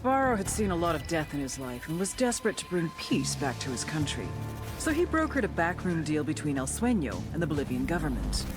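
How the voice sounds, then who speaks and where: An actress playing a cool, firm intelligence agent, speaking in a stern, matter-of-fact scripted style. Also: female voice, low-pitched. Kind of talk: scripted briefing and interrogation.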